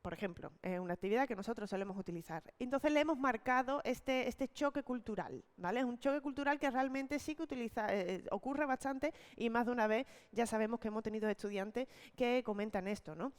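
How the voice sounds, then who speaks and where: A woman leading an online teacher-training talk, explaining step by step and often checking in with quick tag questions. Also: a little high, clear, resonant tone. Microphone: video call.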